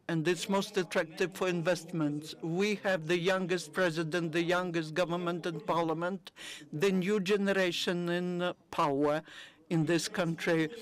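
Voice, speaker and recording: A man gives a simultaneous interpretation of a speech, keeping a steady flow broken by frequent hesitations. His voice sits somewhat high for a man.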